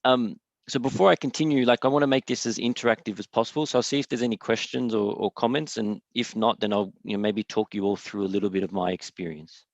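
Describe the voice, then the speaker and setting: A man's voice: lowish voice, fairly even intonation, fast, dry recording. An Australian man giving an online lecture over a video call, hesitant, full of fillers and repeated words. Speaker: guest speaker. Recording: dry, slightly dull video call audio.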